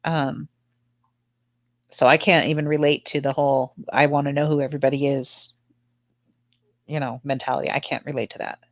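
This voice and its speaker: A woman's voice: very deep voice, flat delivery, normal speed, long pauses, dry recording. A woman with a slightly stuffed-up nose, chatting casually with viewers on a livestream panel. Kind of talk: casual chat with live viewers.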